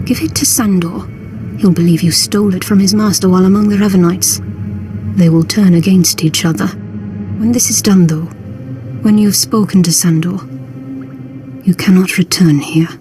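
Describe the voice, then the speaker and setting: An actress playing an earnest, conspiratorial Sith cult leader in a video game, speaking in a measured, solemn way. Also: female voice, medium pitch, over music, natural voice. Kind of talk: video game dialogue acting.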